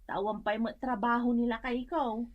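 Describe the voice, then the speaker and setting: A woman's voice: fairly high pitch, fairly even intonation, moderate pace, dry recording, lo-fi sound, close to an everyday voice. An actress playing a poor, long-suffering village wife and mother in an Ilocano radio comedy drama, plaintive and sighing yet fond. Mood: plaintive, envious.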